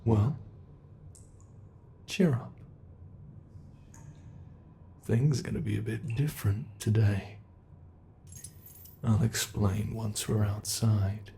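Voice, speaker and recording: A British man voicing a teasing, predatory male monster who holds the listener captive, speaking slowly and mockingly in an ASMR horror roleplay. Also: on the low side, fairly even intonation, creaky, dark tone, slight background noise. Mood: taunting. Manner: slow, taunting, toying with the listener.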